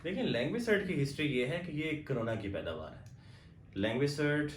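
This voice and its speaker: A man with a Pakistani accent hosting a language exam Q&A, explaining in a measured, step-by-step way. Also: on the high side, big pitch swings, echoey room, a little hiss or hum. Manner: mixes Urdu and English, measured explanatory delivery. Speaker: host. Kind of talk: bilingual Q&A on language exams.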